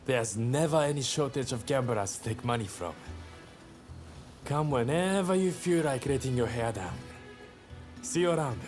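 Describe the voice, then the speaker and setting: A man's voice: mid-range voice, animated, moderate pace, darkish tone, soft background music, lightly acted. A man playing an easygoing, cheeky young samurai gambler in a video game cutscene, with a breezy, teasing manner.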